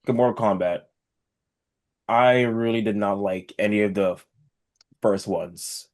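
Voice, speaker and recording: A man with a fairly low voice co-hosts a casual talk podcast. He speaks informally and with emphasis, in the loose, slightly salty way of a friend giving his opinion. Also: measured pace, a little mellow. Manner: emphatic, informal, mild swearing. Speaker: podcast co-host. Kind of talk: casual chat.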